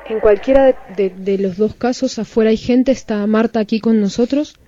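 A woman's voice: a little high, moderate pace, mellow. A woman interviewer talking conversationally on a radio programme. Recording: dull, muffled radio recording.

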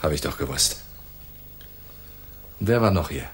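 An actor playing a hard, suspicious veteran homicide inspector in a radio play, gruff and probing, with a gravelly voice. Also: male voice, extremely low pitch, expressive, a little slow, a little hiss or hum. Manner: gruff, probing, tough-talking. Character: hard, cruel, suspicious male homicide police inspector, old hand.

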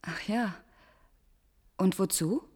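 Commander's young daughter, defiant yet hesitant, breathy and unhurried, radio play. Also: female voice, medium pitch, a little mellow. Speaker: actress. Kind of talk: German science-fiction radio drama acting.

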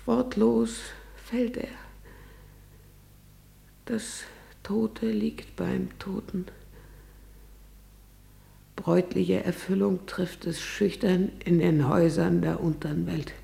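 An actor playing a grave messenger in a classical verse radio drama, reciting in a solemn, measured way. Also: male voice, high-pitched, gravelly, exaggerated voice. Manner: solemn, measured recitation, shifting to hushed whispers. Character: grave messenger reporting deaths.

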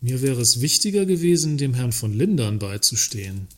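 An actor playing a cautious, earnest works councillor in a satirical radio play, hesitant and formally polite, with a husky voice. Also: male voice, medium pitch, sing-song, moderate pace, natural voice. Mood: puzzled, concerned.

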